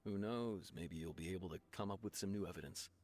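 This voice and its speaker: An actor playing a sober, skeptical police officer in a game, speaking in a measured, frank, matter-of-fact way. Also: male voice, deep voice, darkish tone, a little hiss or hum, close to an everyday voice. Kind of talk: anime game voice acting.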